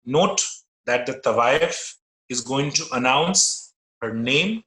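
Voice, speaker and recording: A man with an Indian English accent giving an online lecture as a musicologist, explaining in a measured way with frequent pauses. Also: mid-range voice, lively intonation, bright, forceful tone, roomy sound, low-quality recording. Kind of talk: illustrated slide lecture.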